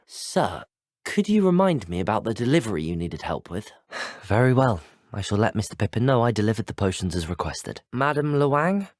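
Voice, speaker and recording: An actor playing an earnest, curious teenage wizard student in a video game, speaking politely with a British accent. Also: male voice, fairly low pitch, no room sound, studio quality. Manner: polite, well-spoken. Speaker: player character voice.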